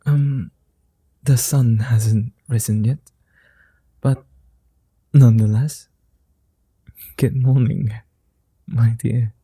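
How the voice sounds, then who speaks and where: A man playing a suave, flirty vampire in an ASMR roleplay, speaking softly in courtly, formal phrases with a gentle, teasing manner. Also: mid-range voice, steady tone, dry recording, heavily acted. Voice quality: soft, dark, breathy. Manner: courtly formal wording, unhurried pauses, teasing.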